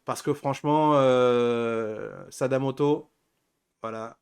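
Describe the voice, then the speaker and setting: A man's voice: mid-range voice, fairly even intonation, slow pace, clean tone. A middle-aged man hosting a casual group chat, rambling and often backtracking on his words. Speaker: host of an anime talk show.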